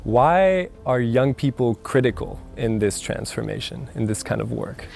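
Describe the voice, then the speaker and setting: Man, video host, casual narration, quick chatty delivery, mid pitch, faint music. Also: lively intonation, gravelly, darkish tone. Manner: quick, chatty, enthusiastic phrasing. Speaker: host and interviewer. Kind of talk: casual vlog narration and interview questions. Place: outdoors around a city.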